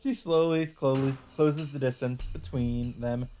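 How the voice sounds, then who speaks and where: A man with a mid-pitched voice reads a visual novel's narration aloud as a let's play host. He tells it as dramatic first-person storytelling, with light game music underneath. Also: fairly even intonation, slow, warm tone.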